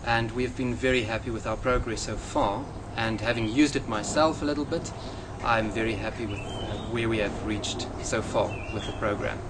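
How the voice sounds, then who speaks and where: A man in his thirties with a South African accent, a native Afrikaans speaker, answering interview questions in a measured, earnest way outdoors in front of a hedge. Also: on the low side, fairly even intonation. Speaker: software developer. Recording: outdoor noise with passing traffic.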